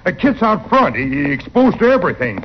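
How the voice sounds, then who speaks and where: An actor playing a shifty, cowardly frontier doctor in an old-time radio western, blustering and stammering. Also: male voice, sits high, sing-song, fairly quick. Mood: panicked, pleading. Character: crooked frontier doctor, shifty, cowardly, cracking under pressure. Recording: noisy dull vintage radio recording.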